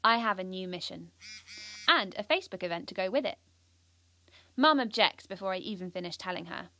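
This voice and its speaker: A woman's voice: medium pitch, lively intonation, a little fast, pushed and bright. A young British woman playing an earnest, ambitious, anxious schoolgirl who confides her story in an audio drama. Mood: fired up. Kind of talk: first-person audio drama narration.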